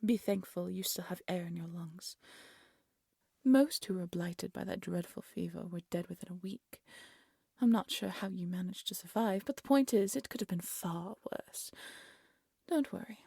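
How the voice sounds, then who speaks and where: A woman playing a haughty, cruel evil queen in a fairy-tale audio drama, speaking in an imperious, condescending way with a breathy voice. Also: on the low side, moderate pace, mellow, no room sound, clean studio sound. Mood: coldly condescending. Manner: imperious, scolding, condescending, theatrical.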